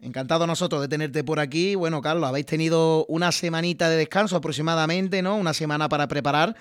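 Male sports radio host, slightly higher voice, dry close mic, steady informative read. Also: fast talker, clean tone, dry recording. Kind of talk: local sports radio presenting. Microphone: studio microphone.

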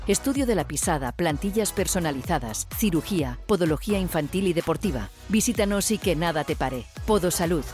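A woman's voice: lowish voice, a little mellow. A woman reading a radio ad in an inviting way. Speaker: ad announcer. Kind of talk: radio ad read.

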